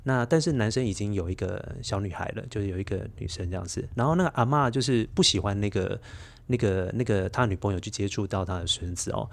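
A man's voice: fairly low pitch, fast, gravelly. A middle-aged man with a Taiwanese accent, a storyteller speaking as an interview guest and sharing personal anecdotes in conversation.

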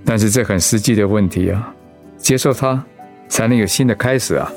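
An actor with a Taiwanese accent playing a gentle, ailing pastor and husband in a radio drama, earnest and reflective. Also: male voice, fairly low pitch, fairly quick, faint music behind, lightly acted. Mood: tender, reflective. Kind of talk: Mandarin radio drama acting.

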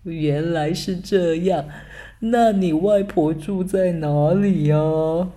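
A woman voicing a sly, hungry male big bad wolf with a gruff, wheedling voice and sneaky chuckles in a bedtime storybook reading. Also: fairly low pitch, takes it slowly, mellow. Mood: coaxing.

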